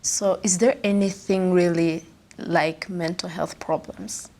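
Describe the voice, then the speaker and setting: A woman's voice: fairly low pitch, steady tone, takes it slowly, a little mellow. A woman hosting an interview, asking curious questions and giving short affirmations while her guest talks. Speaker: show host.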